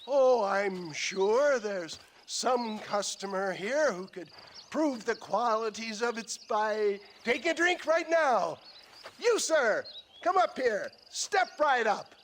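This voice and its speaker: A man's voice: very high voice, animated, slow, fairly bright tone, slight background noise, heavily acted. A man acting in a Western video game as a grandiloquent old snake-oil salesman, with theatrical hawker's patter. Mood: hawking, grandiose. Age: older.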